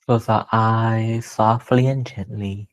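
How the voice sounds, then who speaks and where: Male meditation guide, low-pitched, very unhurried, gentle and deliberate, phrase by phrase. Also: fairly even intonation, dull audio. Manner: gentle, deliberate, pausing between short phrases. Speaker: meditation teacher.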